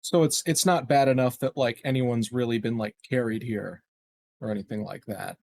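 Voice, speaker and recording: A man's voice: medium pitch, fairly even intonation, normal speed, vocal fry, darkish tone. A man playing a tabletop roleplaying game, talking casually and tentatively as he thinks out his next move aloud.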